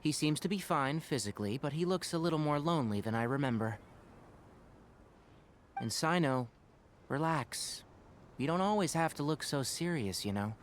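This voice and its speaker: An actor playing a caring, mildly chiding young forest ranger in an anime video game, speaking articulately. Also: male voice, a little high, expressive, moderate pace, long pauses, slight background noise, close to an everyday voice. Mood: gently chiding.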